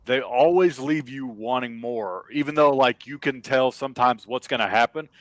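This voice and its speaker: A man's voice: medium pitch, moderate pace, a bit bright, no room sound. A man in a casual group discussion, informal and agreeable as he chimes in. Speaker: discussion panelist. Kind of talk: casual chat.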